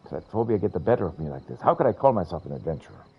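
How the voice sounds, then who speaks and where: A man's voice: low-pitched, sing-song, rapid-fire, breathy edge, dull audio, full character voice. A man voicing a formal, fearful adventurer who tells things in florid, dramatic style. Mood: anguished. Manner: grandiloquent, dramatic.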